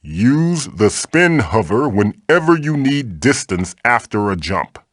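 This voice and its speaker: A man's voice: mid-range voice, very animated, slow pace, no echo, studio quality. A man voicing an old magical mask, a bossy, wisecracking mentor guiding the player in a video game with theatrical, wry delivery. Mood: instructive.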